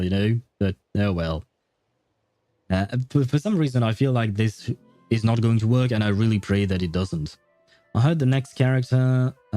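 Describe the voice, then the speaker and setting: A man's voice: fairly low pitch, mellow, dry recording. A man from France, chatting casually and ramblingly with viewers as he streams a game. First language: French. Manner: rambling, chatty, answers chat as he goes. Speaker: game livestreamer. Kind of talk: casual chat with viewers while playing. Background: faint game music.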